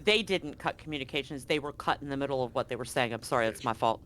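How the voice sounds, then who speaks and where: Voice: mid-range voice, quick pace, fairly bright tone, a little hiss or hum. A game master narrating a tabletop roleplaying game in an informal, sweary way.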